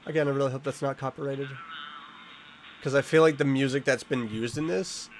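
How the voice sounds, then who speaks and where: A man's voice: mid-range voice, fairly even intonation, a little fast, slight background noise, low-quality recording. A man hosting a reaction video indoors, giving casual commentary and exclaiming and musing aloud as he watches. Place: room indoors. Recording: music behind the voice. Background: music from the watched video.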